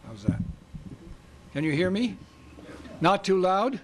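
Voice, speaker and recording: An older man from Canada speaking at a community meeting with a loud voice, pausing often with fillers and restarts. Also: high voice, very animated, slight background noise. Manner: hesitant, with many fillers and restarts. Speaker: guest speaker for seniors. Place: high school music room, small stage. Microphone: stand mic close in front.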